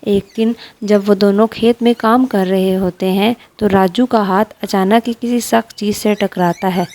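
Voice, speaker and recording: A woman's voice: mid-range voice, fairly even intonation, moderate pace, darkish tone. A woman narrating a scripted fairy tale for children as a storyteller.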